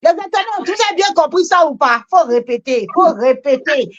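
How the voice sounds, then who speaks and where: Female church exhorter, high voice, emphatic and repetitive, animated charismatic delivery, dull lo-fi sound. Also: moderate pace. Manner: emphatic, repeats phrases.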